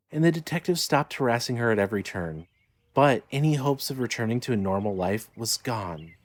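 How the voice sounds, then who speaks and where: A man narrating scripted fiction in a dramatic storytelling style. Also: mid-range voice. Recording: light noise, ambience behind.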